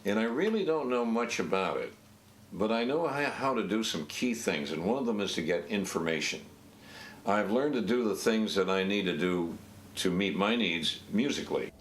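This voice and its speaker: A man's voice: fairly low pitch, expressive, moderate pace, a little hiss or hum. A man in his eighties from upstate New York, speaking unhurriedly in an interview with a few "uh" fillers. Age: 80s. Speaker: interviewee, pianist.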